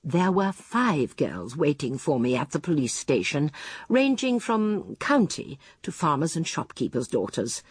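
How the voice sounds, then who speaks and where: Husky old english village lady detective, radio drama, lowish voice, very expressive, precise n polite but firm. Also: measured pace, heavily acted. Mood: narrating calmly. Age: older. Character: shrewd, genteel elderly English village spinster sleuth. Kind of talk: radio drama acting and narration.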